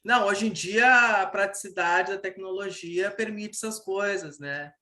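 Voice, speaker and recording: A man's voice: high-pitched, unhurried, sharp tone. A Brazilian man hosting a casual interview, speaking in an informal, slangy and encouraging way. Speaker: podcast host, interviewer. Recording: echoey room.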